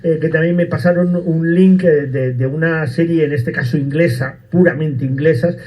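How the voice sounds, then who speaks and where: A man's voice: on the high side, fairly even intonation, moderate pace, lo-fi sound. A man hosting a radio interview, asking long questions full of hesitations and fillers. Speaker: radio host.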